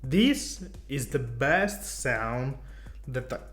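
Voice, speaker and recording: A man's voice: mid-range voice, animated, very slow, a bit bright. A man from Italy with an Italian accent, chatting enthusiastically to camera about cars in loose non-native English. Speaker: car vlogger. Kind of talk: casual talk to camera.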